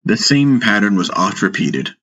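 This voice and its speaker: A man narrating a fantasy audiobook in a measured, dramatic storytelling style. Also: fairly low pitch, sing-song, bright, forceful tone, muffled recording.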